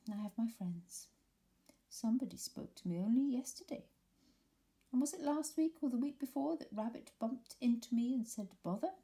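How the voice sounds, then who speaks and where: A woman voicing a gloomy, pompous old male donkey who speaks slowly and solemnly. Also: fairly high pitch, warm tone, voice pushed up, natural voice. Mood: stern, self-pitying. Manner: slow, solemn, self-pitying speech.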